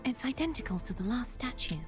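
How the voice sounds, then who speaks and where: A gentle young warrior woman voiced by an actress in a video game, with a fairly high, soft voice that turns breathy at times. She sounds dutiful and self-sacrificing, and music plays clearly behind her. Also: lively intonation, fairly quick, close to an everyday voice. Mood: calm, resolute.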